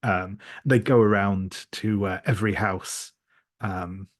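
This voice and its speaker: A man telling an improvised tabletop roleplay story, haltingly searching for words. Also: fairly low pitch, fairly even intonation, no room sound, clean studio sound. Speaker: tabletop game participant.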